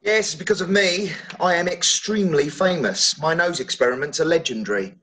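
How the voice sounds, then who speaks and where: An actor playing a silly, good-natured middle-aged wizard dad in a playful, mock-grand manner, at a table read over video call. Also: male voice, fairly high pitch, normal speed, bright, forceful tone. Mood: boastful. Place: room at home, video call.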